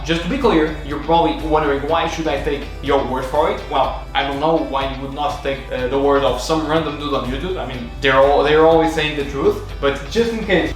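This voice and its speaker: A man with a thick Portuguese accent, talking casually and confidently as a podcast host, with many fillers and restarts. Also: on the high side. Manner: chatty, self-assured, many fillers and restarts. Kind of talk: casual talk to camera. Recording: very echoey room, faint music.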